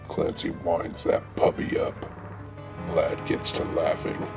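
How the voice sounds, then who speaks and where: A man with a gravelly voice narrating a comedy script in a deadpan, slangy, mock-dramatic way. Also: takes it slowly. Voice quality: very deep, gravelly. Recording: music behind the voice.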